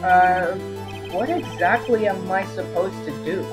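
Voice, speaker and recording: Man as a nervous young lawyer, slow and echoey. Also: high voice, music in the background. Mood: flustered. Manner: hesitant, flustered. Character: nervous, inexperienced young male defense lawyer. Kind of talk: game fandub acting, inner monologue.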